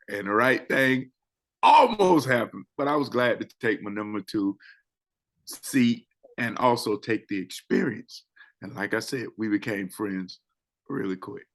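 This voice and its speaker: A man co-hosting a talk show as a public speaking coach, his voice rising and falling across a wide, lively range. He talks at an unhurried, deliberate pace. He swings from loud to soft for effect and repeats phrases for emphasis. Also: mid-range voice, creaky. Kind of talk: casual show chat.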